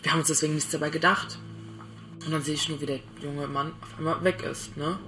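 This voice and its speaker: A man's voice: on the high side, little pitch movement, fast talker, over loud music. A man giving a spontaneous eyewitness recollection in casual speech.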